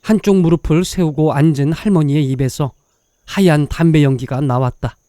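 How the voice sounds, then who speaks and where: A man narrating a scripted dramatic story in a measured, literary way, pausing between short phrases. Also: a little high, dark tone, no room sound, clean studio sound.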